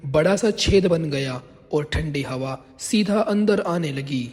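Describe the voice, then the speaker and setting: A man's voice: fairly high pitch, takes it slowly, a little mellow. A man narrating a scripted cartoon story as its storyteller. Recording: long reverb, music behind.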